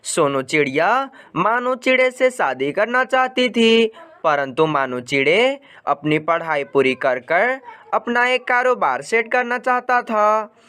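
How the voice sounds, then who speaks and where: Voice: medium pitch, expressive, takes it slowly, fairly bright tone, clean tone. A narrator reading scripted children's stories about birds. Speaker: story narrator.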